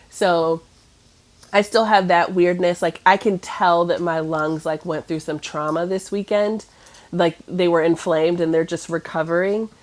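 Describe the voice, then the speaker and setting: A woman in her thirties chatting casually, sounding stuffy and nasal from a cough, rambling with many fillers and restarts. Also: deep voice. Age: 30s. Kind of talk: casual chat.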